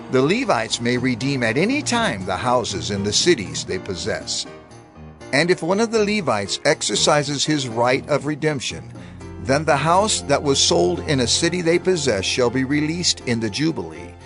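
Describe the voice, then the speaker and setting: A man giving a measured, solemn scripted narration for a dramatized audio Bible. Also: medium pitch, a bit bright.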